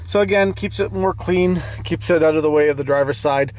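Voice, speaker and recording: A man with a slightly high voice hosts a casual vehicle vlog. He talks in a plainspoken, conversational way, as if walking a viewer through a piece of gear. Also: brisk, a little mellow. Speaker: host of an off-road vehicle channel. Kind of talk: casual product demo vlog.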